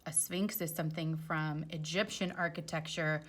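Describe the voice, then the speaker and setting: A woman's voice: sits low, fairly even intonation, moderate pace, fairly bright tone, breathy edge. A woman teacher reading a children's storybook aloud expressively, with chatty explanatory asides for young listeners.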